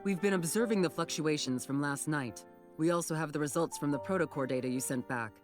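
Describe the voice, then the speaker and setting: A woman's voice: low-pitched, brisk, music in the background, close to an everyday voice. An actress playing a composed, businesslike woman captain of monster hunters in a game. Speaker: commanding officer of a hunter team. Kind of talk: scripted game voice acting.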